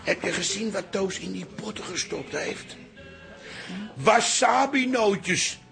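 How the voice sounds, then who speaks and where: Boastful old publican, older man, fairly high pitch, gravelly, opinionated, nostalgic, radio drama. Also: lively intonation, slow, fairly bright tone, soft background music, heavily acted. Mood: grumbling, indignant. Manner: opinionated, grumbling. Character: nostalgic, stubborn, boastful old former pub landlord. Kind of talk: radio comedy-drama acting.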